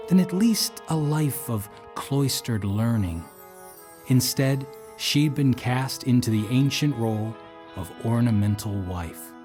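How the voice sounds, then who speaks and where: A man playing a formal manservant and aide who narrates an audio drama in a measured storytelling way. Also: medium pitch. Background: music.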